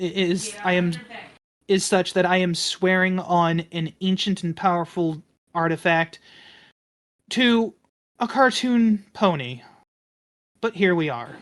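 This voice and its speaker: A woman playing a tabletop game, talking casually and haltingly as she describes her character and repeats phrases. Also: fairly low pitch. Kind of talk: casual roleplay table talk.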